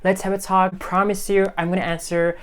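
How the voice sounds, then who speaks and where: A woman's voice: fairly low pitch, flat delivery, a little fast. A woman from Turkey whose first language is Turkish speaks English with a Turkish accent, talking straight to her viewers in an earnest, encouraging way. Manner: earnest, encouraging, repeats "okay?" and "you know". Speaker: English-learning video creator. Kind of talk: casual talk to camera.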